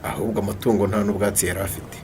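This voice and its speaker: Man acting a mellow, lowish Rwandan boss. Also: flat delivery, racing, slight background noise, close to an everyday voice. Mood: businesslike. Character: well-off middle-aged creditor boss, firm and measured. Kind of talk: radio drama acting.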